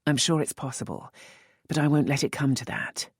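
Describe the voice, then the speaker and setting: A woman narrator voicing a teasing, wry young earl in an audiobook, speaking dry and measured. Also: a bass voice, animated, breathy edge, dry recording, full character voice. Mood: gentle.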